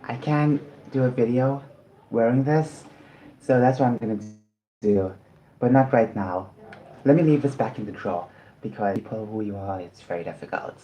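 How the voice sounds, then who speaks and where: A man's voice: medium pitch, moderate pace, dull audio, slight background noise. A man from South Africa chatting casually on a livestream while eating, rambling and often apologizing.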